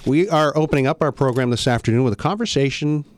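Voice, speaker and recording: A man's voice: mid-range voice, quick pace, no echo. A man hosting an afternoon radio program in a studio, asking questions in a conversational way with small restarts. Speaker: radio host. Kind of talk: radio interview.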